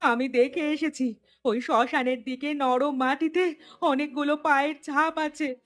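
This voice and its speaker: An anxious village mother voiced by a woman in a horror cartoon. The voice is high and pleading, that of a worried rural parent. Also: fairly even intonation, unhurried, a bit bright.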